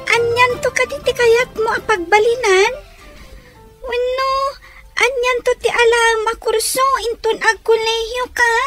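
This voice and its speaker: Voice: very high-pitched, bright, forceful tone, full character voice. An actor playing an eager, affectionate schoolchild in a radio drama. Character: eager, affectionate young schoolchild sibling at home.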